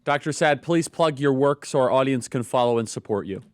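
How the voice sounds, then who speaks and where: A man's voice: medium pitch, fairly quick, no echo. A man hosting a talk show, emphatic and enthusiastic as he puts questions to a guest. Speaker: show host.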